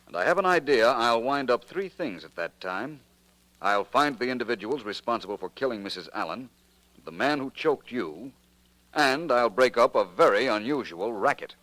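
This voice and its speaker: A man's voice: mid-range voice, expressive, moderate pace, a bit bright, dry recording, close to an everyday voice, low-quality recording. A man playing a cool, suave, self-assured private detective in an old radio mystery. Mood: calm, assured. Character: cool, confident male private detective, unflappable. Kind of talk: mid-century American radio detective drama acting.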